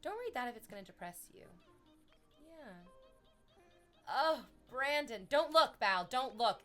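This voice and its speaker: A woman's voice: sits high, lively intonation, unhurried, pushed and bright, soft background music. A woman chatting casually on a livestream, rambling to her viewers and cooing at her dog in baby talk.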